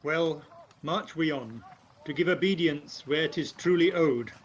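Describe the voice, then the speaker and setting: A man's voice: fairly high pitch, unhurried, lightly acted. An amateur actor playing a resolute nobleman in a Shakespearean radio play, with declamatory delivery. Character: resolute Scottish noble marching against a tyrant. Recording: muffled, noisy video-call audio.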